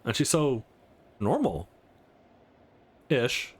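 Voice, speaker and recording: A man's voice: medium pitch, huge pitch swings, very slow, slightly rough, full character voice. A man voicing a concerned young protagonist in a visual novel, casual and wry in manner. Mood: relieved.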